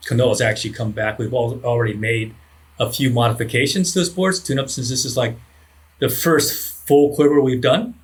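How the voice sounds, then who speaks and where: Man in his sixties, telling stories. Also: mid-range voice. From Hawaii. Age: 60s. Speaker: surfboard shaper, podcast guest. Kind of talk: conversational interview storytelling.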